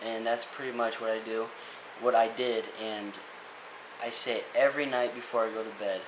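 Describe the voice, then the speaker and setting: A man's voice: mid-range voice, little pitch movement, moderate pace, background noise. Earnest young man in an echoey room. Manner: earnest, rambling, many restarts and fillers. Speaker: vlogger. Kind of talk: casual motivational rant.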